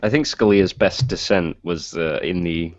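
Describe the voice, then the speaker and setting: Male panelist, very deep voice, sardonic and opinionated casual talk, dry muffled internet-call audio. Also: a little slow, dry recording. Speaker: podcast panelist. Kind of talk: casual political group chat. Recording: dull lo-fi online voice call.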